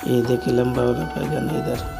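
Creaky-voiced man over music. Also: medium pitch, monotone, moderate pace. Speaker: village vlogger. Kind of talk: casual vlog narration, showing around a farm.

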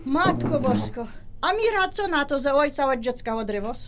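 A scolding highland mother. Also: lively intonation, moderate pace, crowd noise. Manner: scolding, sharp, regional speech. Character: scolding Polish mother, middle-aged wife. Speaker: wife Kasia. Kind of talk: radio drama acting.

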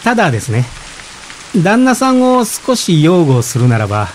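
An actor playing a polite, gentle, self-effacing expectant father in reflective radio drama dialogue. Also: high voice, wildly swooping pitch, moderate pace, a little hiss or hum. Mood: gently reflective. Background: rain.

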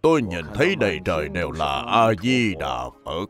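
A man playing a calm, kindly but firm elderly monk master in an animated Buddhist drama, speaking slowly and deliberately. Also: low-pitched, over music. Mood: serene.

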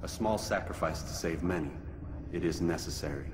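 A man acting a stoic, principled medieval assassin in a video game, speaking in a measured, earnest way. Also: low voice, long reverb tail, background noise, lightly acted. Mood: firm, solemn.

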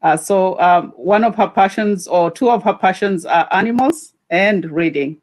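A woman moderating a video-call conference, introducing the next speaker in an informal way with pauses. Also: mid-range voice, a little mellow.

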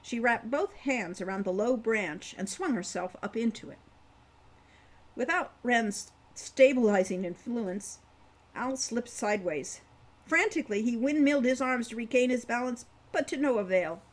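A woman in her sixties narrating a fantasy novel in a measured, careful reading style at home. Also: fairly high pitch, lively intonation. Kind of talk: audiobook-style story narration. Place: room at home.

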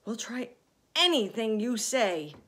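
Casting: woman doing a brash bug of a centipede in a storybook, bouncy pitch, breathy tone. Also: sing-song, takes it slowly, a bit bright, full character voice. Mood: urgent. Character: boastful, brash male centipede.

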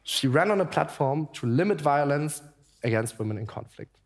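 A young man reading a scripted guest introduction on a conference stage in a steady delivery. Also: a little high, moderate pace, gravelly. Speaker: co-presenter. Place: large conference stage before an audience.

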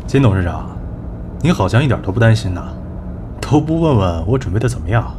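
A man's voice: fairly low pitch, sing-song, brisk, background noise. A man playing a confident, wry talent manager turned executive in an audio drama, assured and dry. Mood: wry, playful. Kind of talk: Chinese audio drama acting.

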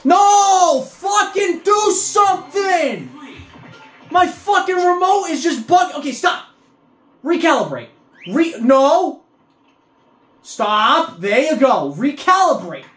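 Young male gamer, very animated pitch, cursing and shouting, roomy echo. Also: takes it slowly. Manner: frustrated outbursts, cursing and yelling at the game. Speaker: gaming video creator. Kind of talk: casual gameplay commentary. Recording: roomy echo with faint game music.

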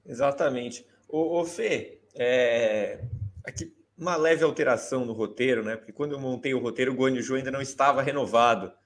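A young man with a Brazilian Portuguese accent, hosting a podcast and putting relaxed questions to his guests over a video call. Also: mid-range voice, a little fast. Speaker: podcast host. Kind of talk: casual chat, moderating.

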